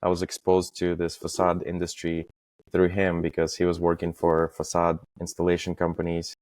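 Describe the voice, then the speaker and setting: A man in his thirties from Estonia, talking as a podcast guest in a halting way, with many fillers and restarts. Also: sits low, completely flat delivery, a little mellow, no echo. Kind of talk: casual interview talk.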